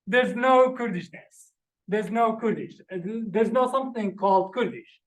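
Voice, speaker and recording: A man's voice: high voice, normal speed. A man giving an academic lecture from slides, earnestly explaining each term one by one. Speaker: visiting lecturer. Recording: roomy echoey room.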